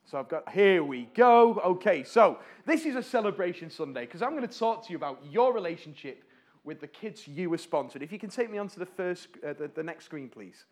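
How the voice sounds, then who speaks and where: A man speaks to a church congregation on behalf of a charity, friendly and chatty. He has a fairly high voice for a man, stumbles over words and restarts now and then, and keeps asking the room questions. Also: lively intonation. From North West England. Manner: warm-hearted, chatty, asks the audience questions; fillers and restarts, pauses while slides change.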